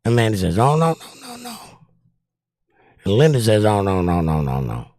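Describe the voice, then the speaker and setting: An older man with a drawl hosts a relaxed livestream, reading viewers' comments aloud and answering them. His voice sits low and sounds worn. The sound is dry and close, with no room echo. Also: moderate pace. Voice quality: voice worn from years of trouble. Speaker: livestream host reading chat. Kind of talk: casual livestream chat.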